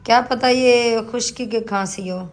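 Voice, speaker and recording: A woman from Pakistan, chatting on a family village vlog. She has a sore throat and talks warmly, calling her husband the viewers' brother. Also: on the high side, normal speed. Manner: warm, chatty, addresses viewers as "your brother". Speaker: family vlogger. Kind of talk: casual family vlog chat. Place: rural village home.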